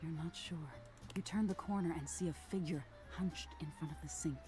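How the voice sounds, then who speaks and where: A woman voicing an eerie nightmare spirit in a video game, telling a soft, ominous tale in a hushed, whispery voice. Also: deep voice, faint music behind, lightly acted. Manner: slow, soothing, ominous storytelling. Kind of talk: game character narration.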